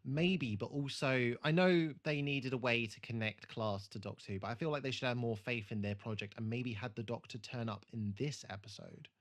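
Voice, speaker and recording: A man's voice: mid-range voice, moderate pace, few pauses. Man chatting analytically on a podcast. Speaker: podcast co-host. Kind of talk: casual TV re-watch discussion.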